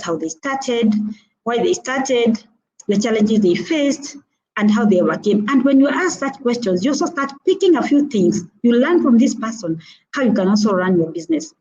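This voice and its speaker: Earnest Kenyan woman, warm mellow voice. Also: fairly high pitch, lively intonation, moderate pace, lo-fi sound. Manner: earnest and advising, repeating points with frequent "uh" hesitations. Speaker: motivational speaker. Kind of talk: instructive talk on networking. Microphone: video call.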